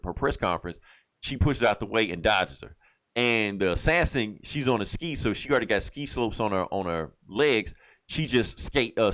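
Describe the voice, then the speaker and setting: Low-voiced man casually recapping a story. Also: a bit bright. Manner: rambling, slangy, acts out lines in passing. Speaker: podcast co-host reviewing comic books.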